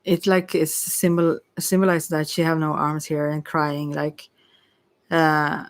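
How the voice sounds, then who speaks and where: Low-voiced woman giving a soft tarot reading. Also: level tone, measured pace, no echo. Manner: soft-spoken, rambling, hesitant, giggly asides. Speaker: tarot reader and ASMR host. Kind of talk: casual ASMR tarot reading.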